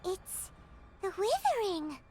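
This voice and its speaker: Squeaky, childlike little fairy. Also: female voice, takes it slowly, a little hiss or hum, heavily acted. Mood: puzzled. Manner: excitable, refers to herself in third person. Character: tiny floating fairy companion, childlike, cheerful, naive. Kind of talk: anime game voice acting.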